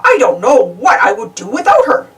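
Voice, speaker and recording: A woman's voice: fairly high pitch, huge pitch swings, moderate pace, a bit bright, distant mic. A woman voicing an appreciative, chatty baker in a children's storybook, emphatic and gushing.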